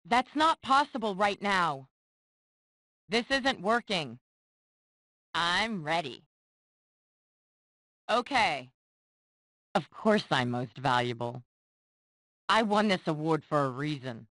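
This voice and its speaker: A haughty superheroine for a video game. Her voice sits a little low but swings widely in pitch. She delivers clipped, disdainful combat lines at an unhurried pace, impatient and commanding. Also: expressive, fairly bright tone, dry recording, clean studio sound. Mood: cool, scornful. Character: haughty, impatient superheroine fighter, cool and scornful. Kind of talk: video game voice clips, short combat barks.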